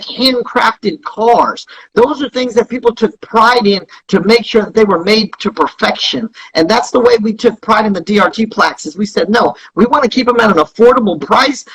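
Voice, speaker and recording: A man's voice: high voice, a little fast, hardly any pauses, a bit bright, breathy edge, poor audio. A man giving promotional interview talk as a company founder, speaking earnestly and repeating points for emphasis. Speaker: guest, company founder promoting his service.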